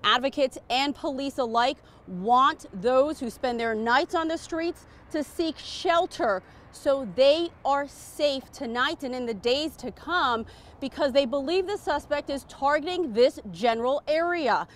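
A woman's voice: high voice, a little slow, a bit bright. An American woman, a TV news reporter, giving a report outdoors on a city street. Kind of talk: news report, live and scripted. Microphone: clip-on lapel mic.

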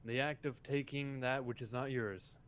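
A man playing a polite, measured police officer in a game roleplay, speaking formally and hesitantly over voice chat. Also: mid-range voice, fairly even intonation, a bit bright, clear, resonant tone, dull audio, natural voice. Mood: measured, questioning.

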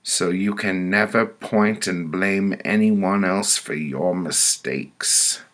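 Man voicing a stern, commanding woman judge, raised pitch. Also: takes it slowly, fairly bright tone. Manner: commanding, pronouncing sentences. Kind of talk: storytelling character voice.

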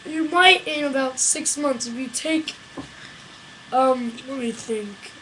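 A woman's voice: high voice, takes it slowly, a bit bright, slight background noise, voice pushed down, poor audio. A woman voicing a blunt, dismissive monkey policeman puppet in a homemade webcam puppet show from a small bedroom.

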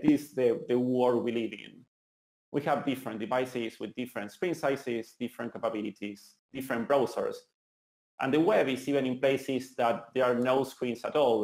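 A man's voice: medium pitch, gravelly, darkish tone. A man in his thirties from Argentina with an Argentinian accent, giving an earnest, enthusiastic conference talk. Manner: earnest, enthusiastic, some restarts. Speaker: conference speaker. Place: meetup venue hall. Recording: roomy hall sound.

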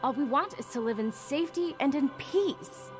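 A woman playing a proud, distrustful human in an animated fairy tale, firm and declaring. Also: high-pitched, moderate pace, music in the background. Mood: stern, distrustful.

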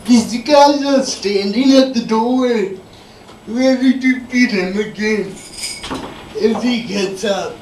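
A young man performing stand-up comedy stories in a halting, drawn-out, effortful delivery. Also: high voice, darkish tone. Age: young adult. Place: small dim comedy club stage indoors. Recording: noisy, echoey live recording from far off.

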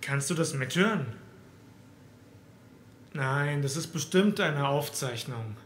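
A man playing a frightened, trapped captive in a horror audio drama, anxious, halting and desperate. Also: a little high, expressive, fairly bright tone. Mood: uneasy. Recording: echoey room, faint background noise.

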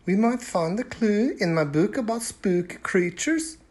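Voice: mid-range voice, expressive, unhurried, darkish tone, voice pushed up, close to an everyday voice. A storyteller voicing a brave, curious little Viking girl who urges others to listen in a storybook reading. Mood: urgent.

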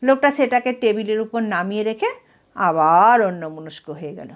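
A woman storyteller reading a comic short story aloud in an expressive narrating style. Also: medium pitch, moderate pace.

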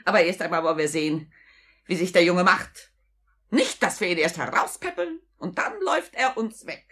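A woman's voice: on the low side, expressive, moderate pace, a bit bright, breathy edge. A woman playing an undertaker's stingy, scolding wife in a 1950s German radio play, sneering, sarcastic and bossy. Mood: calculating.

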